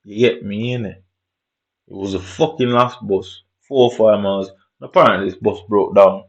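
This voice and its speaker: Low-voiced man telling a street story. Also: fairly even intonation, moderate pace. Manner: streetwise slang, sweary, repeats "innit". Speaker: vlogger. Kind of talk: casual storytelling monologue. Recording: dull lo-fi recording.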